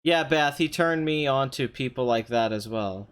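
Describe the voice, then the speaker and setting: A young man with a somewhat high, nasal voice, strained and hoarse, chatting casually on his live stream and answering viewers' comments. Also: normal speed, a bit bright. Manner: chatty, rambling, often clears throat, reads chat aloud.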